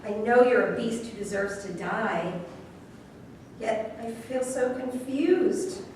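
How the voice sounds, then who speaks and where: A woman's voice: on the high side, expressive, slow, darkish tone. An actress playing a confused, accusing woman who confronts a killer, earnest and wary, in a stage play reading. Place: large room at a staged reading. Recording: echoey room, far mic, faint noise.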